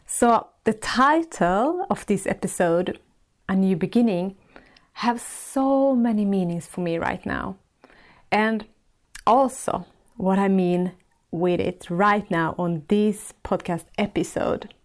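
A woman with a Swedish accent, a native Swedish speaker, hosting a solo podcast as a life coach and searching gently for words in English with small restarts. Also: medium pitch, slow. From Sweden.

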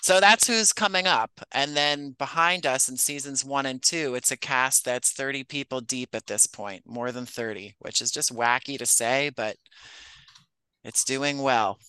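Male interview guest, writer-producer type. Clean, bright voice, close dry sound, conversational delivery. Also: mid-range voice, unhurried, smooth, no echo, rough recording.

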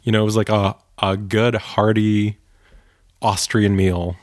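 A man co-hosting a podcast, chatting casually with quick, wry asides. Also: lowish voice, flat delivery, no echo, clean studio sound. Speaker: podcast co-host. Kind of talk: casual chat.

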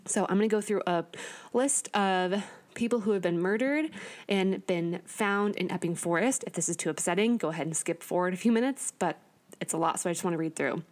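A woman's voice: on the low side, brisk. A woman co-hosting a podcast, reading through researched notes in a casual, earnest way with small stumbles and asides. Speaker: podcast co-host.

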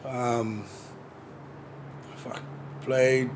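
A man's voice: mid-range voice, flat delivery, extremely slow. A man telling his story loosely, swearing constantly and laughing easily. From New Zealand. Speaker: musician guest. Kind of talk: casual interview chat. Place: balcony outdoors. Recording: outdoor balcony with background noise.

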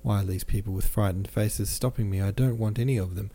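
A man reading the part of a grieving, anguished young princess in a novel, distraught and halting. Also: fairly low pitch, steady tone, gravelly, dark tone, slightly rough. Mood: horrified disbelief. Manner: distraught, halting, repeating herself.

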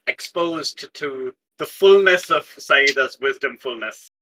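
A man's voice: mid-range voice, expressive, slow, pushed and bright, poor audio. A man chatting casually as a player on a roleplaying game podcast. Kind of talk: casual banter.

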